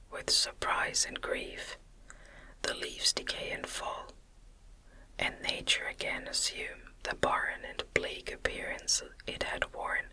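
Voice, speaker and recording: A woman narrating a gothic novel as ASMR in a breathy, unvoiced whisper, with gentle, deliberate phrasing. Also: low-quality recording.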